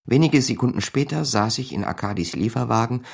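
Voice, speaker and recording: An actor playing a wily small-time crook who narrates his own story in a radio crime play, with a gravelly voice. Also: medium pitch, fairly quick. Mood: wry, storytelling. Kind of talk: scripted first-person narration over music.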